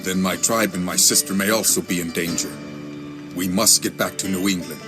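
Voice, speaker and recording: A stern Mohawk warrior acted by a man in a game cutscene. The voice is low, with music clearly behind it. He speaks in blunt, terse phrases, proud and sceptical. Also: steady tone, moderate pace, close to an everyday voice. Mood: grave urgency. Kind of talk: dramatic game cutscene acting.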